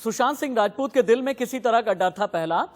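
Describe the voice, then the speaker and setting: A man's voice: very high voice, lively intonation, a little fast, in a large hall. A man anchoring live news, putting questions and instructions to reporters and guests in an insistent, emphatic way.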